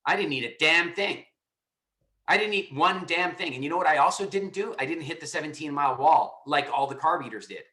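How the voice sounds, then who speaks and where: A man's voice: a little high, lively intonation, fairly quick, bright, forceful tone, echoey room. A man hosting a live call, answering a caller in an emphatic way, often repeating himself and restarting his sentences. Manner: emphatic, repetitive, many restarts. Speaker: host. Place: lives in Estonia.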